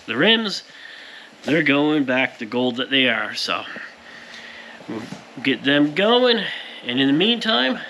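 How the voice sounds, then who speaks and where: A man's voice: mid-range voice, very animated, slow, long pauses, pushed and bright, low-quality recording. A plain-spoken man giving a casual, explanatory hands-on workshop narration to viewers. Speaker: powder coater presenting his shop's work. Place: powder coating workshop. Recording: clear background shop noise.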